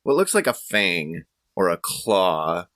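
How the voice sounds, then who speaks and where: A man improvising casual role-play narration for a tabletop game, with a halting, hesitant delivery. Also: low-pitched, big pitch swings, a bit bright, dry recording, studio quality. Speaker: tabletop game player and storyteller.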